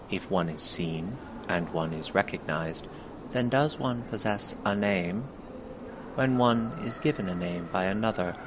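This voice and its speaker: Man as a very low-voiced, solemn museum guide. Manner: formal, measured, questioning. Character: solemn male guide of an otherworldly treatment museum. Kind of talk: scripted audio guide narration. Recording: clearly noisy, dull lo-fi recording.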